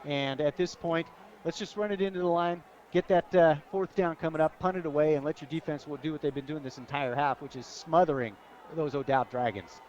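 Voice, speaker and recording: An American man with a slightly high voice gives football color commentary on the radio. He speaks emphatically, stressing his points, and the broadcast sound is a little muffled. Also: brisk, a little mellow. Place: stadium press booth, radio broadcast.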